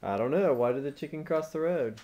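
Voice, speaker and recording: A man's voice: on the high side, fairly quick, dark tone. A man, a father, chatting casually with children in a playful, encouraging way and prompting them with questions. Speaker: father watching his child's puppet show. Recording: amateur home video sound.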